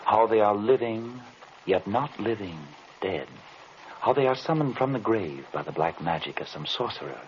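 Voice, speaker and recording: Through a muffled, faintly noisy vintage radio recording comes a man acting as an enigmatic occult investigator. His delivery is formal and deliberate, with a swooping, animated pitch and brooding courtesy. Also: lightly acted. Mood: ominous, musing. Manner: formal, deliberate, theatrical, rhetorical questions. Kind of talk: old-time radio drama acting.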